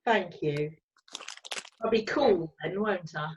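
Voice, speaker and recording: A woman chatting in an animated way on a video call from home, often laughing. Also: on the low side, slow, slight background noise. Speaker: cast member in a read-through. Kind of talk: casual chat on a video call. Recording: dull lo-fi video call audio.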